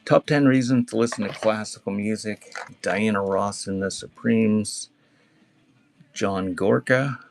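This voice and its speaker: A man's voice: lowish voice, unhurried. A man chatting casually as a live-stream auction seller, rambling and teasing as he reads out items for sale. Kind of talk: casual live selling chat, reading out cassette titles. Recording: clearly noisy background with clicks.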